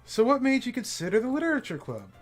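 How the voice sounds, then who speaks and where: A man voicing a cheerful, composed teenage girl who leads a school club, sounding bright and encouraging. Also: very high voice, big pitch swings, fast talker, faint music behind, voice pushed up, exaggerated voice. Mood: upbeat. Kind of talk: voicing game characters on a live stream.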